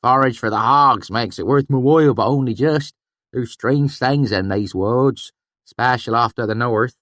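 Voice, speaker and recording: A man's voice: mid-range voice, measured pace, a bit bright, dry recording, studio quality, voice pushed up, natural voice. A man voicing an irritable old rustic swineherd with blustery, countrified speech. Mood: spooked.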